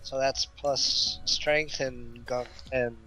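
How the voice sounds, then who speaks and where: A man with a bright, forceful voice at an average pitch plays a tabletop game. He banters casually and cracks jokes, with light music behind him. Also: steady tone, very slow, poor audio. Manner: wisecracking, swearing.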